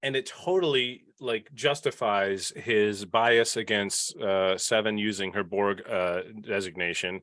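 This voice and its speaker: Low-voiced man chatting on a podcast. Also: steady tone, a little slow, hardly any pauses, fairly bright tone, no echo. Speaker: podcast panelist. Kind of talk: casual panel discussion of a TV series.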